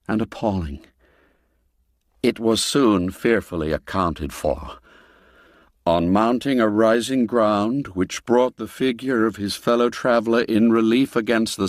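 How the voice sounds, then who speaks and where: A man narrating a classic ghost story as a dramatic reading, measured and full of deliberate pauses. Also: medium pitch.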